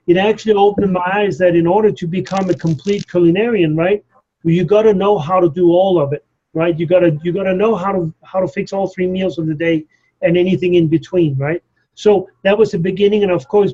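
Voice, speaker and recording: A man's voice: high-pitched, warm tone, dull audio. A man, a culinary instructor introducing himself in a live online class, chatty and often asking "right?". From Denmark.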